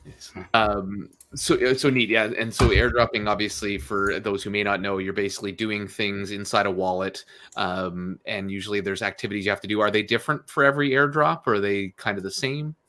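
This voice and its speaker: A man hosting a casual online chat, relaxed, with lots of fillers and restarts. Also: lowish voice, brisk. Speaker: host.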